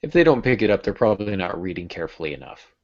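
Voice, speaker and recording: A man's voice: low voice, big pitch swings, very fast, a little mellow. A middle-aged man hosting a podcast, giving casual writing advice and repeating phrases for emphasis. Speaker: podcast host and writing coach. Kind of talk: casual instructional talk. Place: small indoor home office. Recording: dull, lo-fi video call audio.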